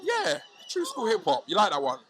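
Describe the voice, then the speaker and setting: A man chatting casually as a hip hop artist guest, animated and slangy, often asking if you know what he's saying. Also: high-pitched, normal speed, background noise. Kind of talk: casual podcast chat.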